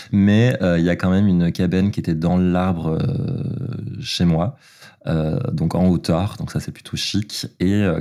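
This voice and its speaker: A man's voice: low voice, warm tone. A man from Belgium, a drag artist talking as a guest, hesitant with many fillers and easy laughs. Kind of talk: casual interview talk.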